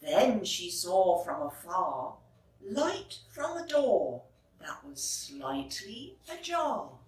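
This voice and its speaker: A woman narrating a children's storybook expressively, phrasing clearly for young listeners, like a teacher reading aloud. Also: mid-range voice, sing-song, drawn-out words. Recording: roomy echo, distant microphone.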